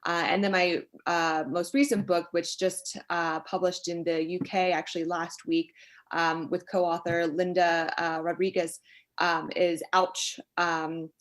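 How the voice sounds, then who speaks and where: A middle-aged woman from the United States, an expert guest explaining her work conversationally in a thoughtful, halting, reflective way. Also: on the low side, little pitch movement. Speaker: expert guest, sociologist and author.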